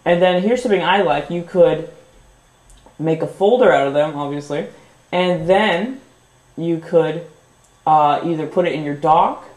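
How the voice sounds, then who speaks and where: A man's voice: on the high side, unhurried, pauses often, darkish tone. A young man with a slightly stuffy nose, casually demonstrating a phone tip as a tech video host, upbeat and full of fillers. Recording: echoey room.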